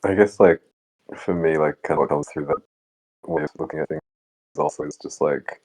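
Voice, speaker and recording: A man in a casual, reflective discussion, speaking haltingly as he searches for words. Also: rumbling low, steady tone, a little mellow, poor audio. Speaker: book club discussion participant.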